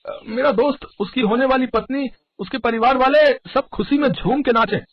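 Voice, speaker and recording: A man's voice: extremely high pitch, moderate pace, no room sound, muffled recording. A man voicing a humble, friendly poor young man in a story, polite and hesitant. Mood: polite, hopeful.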